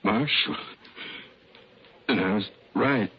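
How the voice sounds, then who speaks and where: An actor playing a badly wounded cowboy with a rural Western American accent, weakly gasping out broken phrases in a radio western. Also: male voice, on the low side, slow pace, slightly rough, heavily acted. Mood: weak, pained. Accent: rural American West. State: weak, gasping from gunshot wounds. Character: badly wounded, dying cowboy, weak and earnest. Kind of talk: 1950s radio western acting. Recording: dull, faintly noisy old radio recording.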